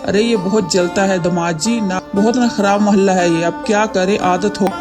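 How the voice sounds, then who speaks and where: A woman's voice: mid-range voice, fairly even intonation, normal speed, close to an everyday voice. A woman voicing a bossy, gossipy mother-in-law in a Hindi cartoon story, nagging in a brash, folksy way. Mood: defensive. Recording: music bed behind voice.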